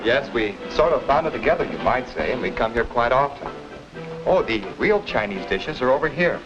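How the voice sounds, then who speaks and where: An actor playing a sociable, easygoing young American boyfriend, chatty and agreeable, in a 1950 educational film. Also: male voice, medium pitch, sing-song, normal speed, lightly acted. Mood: genial. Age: young adult. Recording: dull old film track, music behind.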